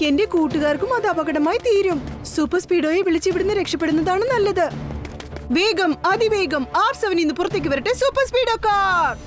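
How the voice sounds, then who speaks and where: A woman's voice: extremely high pitch, fairly quick, over music. A woman voicing an earnest young boy hero in a cartoon, speaking emphatically. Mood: urgent. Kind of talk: Malayalam cartoon dub acting.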